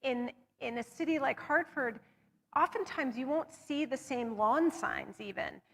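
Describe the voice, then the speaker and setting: A woman's voice: sits high, measured pace, fairly bright tone, in a large hall. A woman on a discussion panel, talking steadily and carefully, correcting herself as she goes through figures. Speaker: panelist on local voting data.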